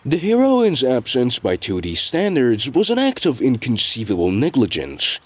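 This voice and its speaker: A male narrator with a medium-pitched voice reads a scripted tale. His intonation moves widely, while the phrasing stays measured, with deliberate dramatic pauses. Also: huge pitch swings, no room sound.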